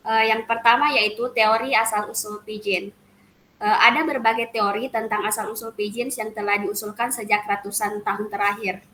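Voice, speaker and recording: A woman's voice: mid-range voice, fairly even intonation, normal speed, pushed and bright, a little hiss or hum. Young woman presenting in an echoey room. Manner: hesitant, frequent "eh" fillers and restarts. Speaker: student presenter. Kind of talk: reading a class presentation.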